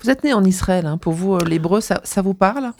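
A woman's voice: medium pitch, lively intonation, fairly quick, a little mellow, slight background noise. A woman interviewing a guest on the radio, prompting him and summing up what he says.

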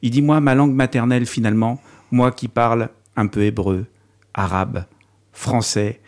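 A man's voice: mid-range voice, steady tone, moderate pace, a little mellow. A man hosting an interview, asking his guest questions in a conversational way. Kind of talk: radio interview.

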